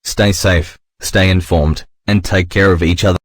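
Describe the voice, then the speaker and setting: A man playing a calm official, giving a formal, reassuring scripted public safety announcement. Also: sits low, unhurried, dry recording, studio quality.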